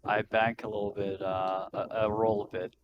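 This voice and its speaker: Low-voiced man on a noisy mic. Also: little pitch movement, normal speed, muffled recording. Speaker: tabletop game player. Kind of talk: casual game chat.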